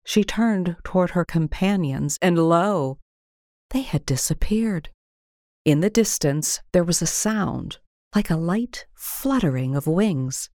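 A woman reads a storybook tale in a low register. Her delivery is slow and gentle, with careful measured phrasing. The sound is dry, with very little room around it. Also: dry recording. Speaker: story reader. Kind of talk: scripted storybook narration.

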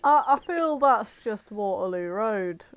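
A woman's voice: medium pitch, a little slow, lo-fi sound. Blunt sweary woman. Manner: blunt and sweary, deadpan asides. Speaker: podcast co-host. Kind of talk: casual chat.

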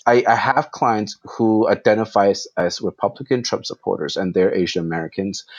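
A man who came over from the Philippines as a child, now a therapist, talking in a reflective, rambling way and restarting his sentences. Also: lowish voice. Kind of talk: casual conversation.

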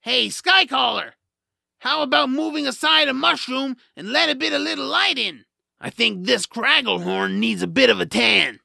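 Blunt grumbling creature, very gravelly, high-pitched, animated, audio drama. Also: male voice, normal speed, no room sound, clean studio sound, exaggerated voice. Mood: stern, taunting. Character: grumpy nap-loving male swamp creature, gruff reluctant rescuer.

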